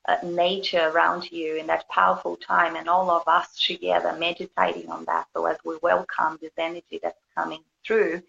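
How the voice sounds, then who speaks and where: A woman from Brazil with a Brazilian accent, a guest talking casually about her healing work, gently searching for words. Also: lowish voice, vocal fry. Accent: Brazilian Portuguese. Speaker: guest, yoga and healing teacher. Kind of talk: casual conversation. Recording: dull lo-fi audio with faint background hiss.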